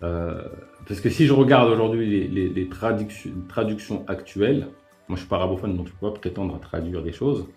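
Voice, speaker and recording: A man with a fairly low voice lays out his points step by step. He hesitates often and restarts his sentences, and faint music plays under the live-stream call. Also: brisk, a little mellow. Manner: hesitant, many fillers and restarts, reasoning step by step. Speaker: host. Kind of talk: calm religious debate on a live stream. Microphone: remote live-stream call.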